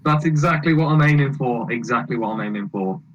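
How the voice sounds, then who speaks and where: A man's voice: mid-range voice, fairly quick, muffled recording. A man with a mixed Welsh and Scouse accent, a guest chatting casually on a podcast and laughing at himself. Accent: Welsh and Scouse mix. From England, between Liverpool and Manchester. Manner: chatty, self-deprecating, laughs often. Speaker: guest, model aircraft video maker.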